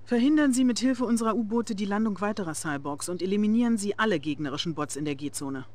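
Woman playing young Soviet intelligence officer, cool, authoritative, curt, crisp official delivery, game cutscene. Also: mid-range voice, quick pace, darkish tone, lightly acted. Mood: businesslike. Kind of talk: dramatic game cutscene acting.

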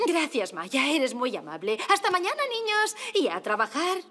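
A woman's voice: high-pitched, big pitch swings, normal speed, over music. A woman with a Castilian accent voicing a prim, kindly bee schoolteacher in a cartoon, polite but firm. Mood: kindly, instructive. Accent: Castilian Spanish. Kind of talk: animated cartoon dub acting.

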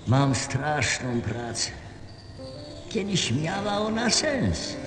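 Guy acting a tired grumbling lamplighter, radio play, really animated pitch, big echo and music. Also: slow pace. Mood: weary, complaining. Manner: weary, complaining. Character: weary, dutiful, resigned lamplighter. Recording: echoey hall, music behind.

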